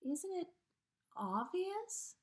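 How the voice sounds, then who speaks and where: A woman puts on a dreamy teenage witch from a storybook. The voice is high and airy, with an unhurried, quirky and serenely odd temper. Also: sing-song, a little mellow, exaggerated voice. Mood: mildly amused.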